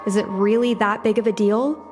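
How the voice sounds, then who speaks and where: An actress playing a curious, determined young woman, a daughter in a video game dub, with an earnest, measured delivery. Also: medium pitch, steady tone, a little mellow, soft background music, natural voice. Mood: casual, persuading.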